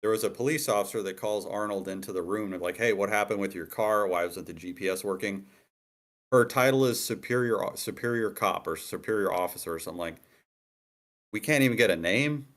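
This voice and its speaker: A man's voice: lowish voice, fairly quick, a bit bright. A man in a casual workshop discussion, explaining his view by example and rephrasing as he goes. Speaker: participant in a screenwriting critique.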